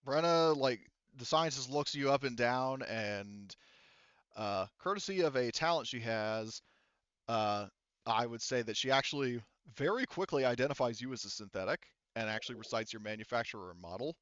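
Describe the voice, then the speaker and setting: A man working as game master, narrating and refereeing a tabletop roleplaying game in a halting, informal, conversational way. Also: medium pitch, animated, fairly bright tone, clean tone, dry recording, muffled recording.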